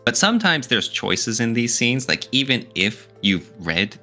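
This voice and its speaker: A man co-hosting a podcast, talking casually and jokingly in an animated, teasing, argumentative way. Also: mid-range voice, unhurried, faint music behind. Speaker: podcast co-host. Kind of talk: casual, joking opinion talk.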